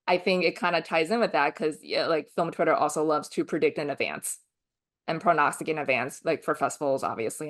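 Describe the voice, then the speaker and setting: A woman co-hosting a podcast in loose, candid chat. Her voice sits low for a woman, and she talks bluntly, swears offhandedly and scatters "like" through her sentences. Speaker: podcast co-host.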